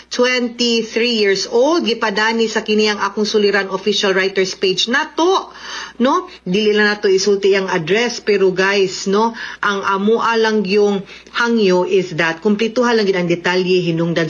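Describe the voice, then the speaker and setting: A woman's voice: mid-range voice, fairly bright tone. Chatty Cebuano woman hosting, slightly dull sound. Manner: chatty, explanatory. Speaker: radio host and lawyer giving advice. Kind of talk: conversational radio advice talk.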